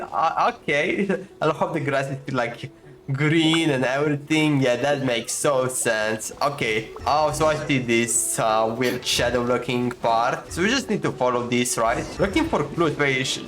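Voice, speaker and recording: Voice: medium pitch, a little slow, a bit bright. A game streamer giving casual, reactive commentary, muttering and thinking aloud while playing. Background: faint game music.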